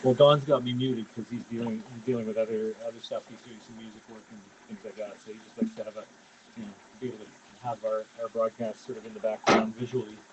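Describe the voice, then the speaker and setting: Low-voiced Canadian man chatting casually. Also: flat delivery, fast talker. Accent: small-town Ontario Canadian. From Ontario, Canada. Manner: rambling, with many fillers and restarts. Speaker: livestream host. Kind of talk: casual livestream chat. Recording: loud background noise.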